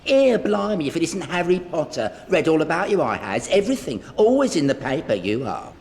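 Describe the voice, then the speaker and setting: An actor playing an excitable, gullible, chatty man in a talking portrait for a video game, colloquial and repeating himself as he exclaims. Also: a little high, brisk, vocal fry, in a large hall, slight background noise. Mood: excited, chatty. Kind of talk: video game character acting.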